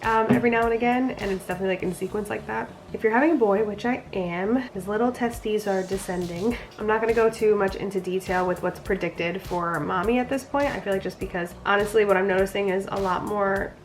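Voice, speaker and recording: A woman's voice: mid-range voice, fast, hardly any pauses, faint music behind. A young woman vlogging a casual pregnancy update, sounding nasal from a cold, chatty and rambling. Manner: chatty, rambling, frequent fillers.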